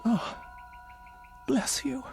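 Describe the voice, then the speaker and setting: A woman's voice: drawn-out words, a little mellow, soft background music. A woman playing an anxious, demanding older invalid in a suspense radio drama, fretful and imperious in manner. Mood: relieved, pleading.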